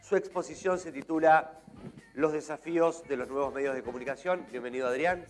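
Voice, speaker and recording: A man introducing a conference speaker, reading a biography in a measured, slightly halting way. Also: fairly high pitch, faint music behind. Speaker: event host.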